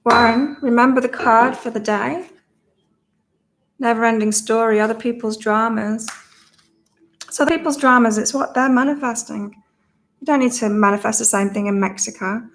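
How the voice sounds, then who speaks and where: A woman hosting a casual psychic livestream, slightly croaky, reading viewers' comments aloud and laughing often between card readings. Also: a little high, moderate pace, long pauses, fairly bright tone, slight background noise. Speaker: psychic reader and channel host.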